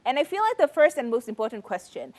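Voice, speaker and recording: Young woman host, late twenties, bubbly and teasing, voice moves a lot, slightly high. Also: fast talker. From Kenya. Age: 20s. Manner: playful, joking, animated questioner. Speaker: show host. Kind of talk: casual chat.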